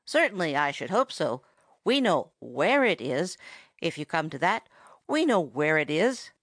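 Lady doing a cocky raccoon guy from a kids storybook, blustery, bouncy pitch, very dry sound. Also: sing-song, measured pace, dry recording, exaggerated voice. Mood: blustering. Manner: hasty, blustering, self-assured. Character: bluffing, cocky male raccoon, storybook humbug.

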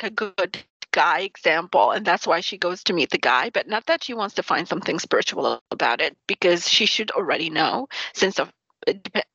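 A woman from New York with a standard New York accent speaks emphatically in casual chat, stopping to rephrase as she goes. Her voice is bright and forceful. The sound is dry and a little dull. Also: lowish voice, slightly rough, no echo.

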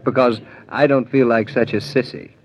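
An actor playing a gentle, reassuring young husband in a 1940s radio drama. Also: male voice, mid-range voice, steady tone, normal speed, close to an everyday voice. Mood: gentle, sheepish. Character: gentle, reassuring young husband admitting his own fears. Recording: dull, noisy 1940s radio recording.

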